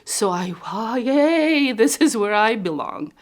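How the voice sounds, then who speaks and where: A woman from Palestine talking about her life in an interview, telling her story in a slow, animated way. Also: fairly high pitch. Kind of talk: documentary interview.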